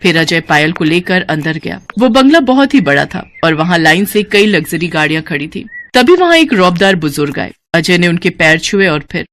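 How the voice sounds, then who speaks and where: A woman's voice: lowish voice, very animated, moderate pace, hardly any pauses, a bit bright. A woman narrating a scripted Hindi cartoon moral story in a dramatic storytelling style.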